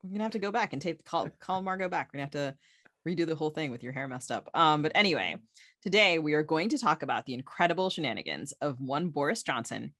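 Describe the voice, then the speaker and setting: Female American podcast co-host, wry and informal, lively swooping pitch, close dry sound. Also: no echo. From United States. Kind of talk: casual, opinionated podcast banter.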